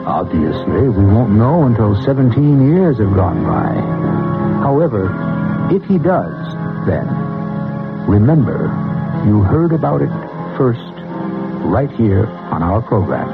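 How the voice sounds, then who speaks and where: Slow-spoken male radio mystery host, muffled. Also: on the low side, big pitch swings. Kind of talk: theatrical scripted radio drama narration. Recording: muffled old radio broadcast, music behind.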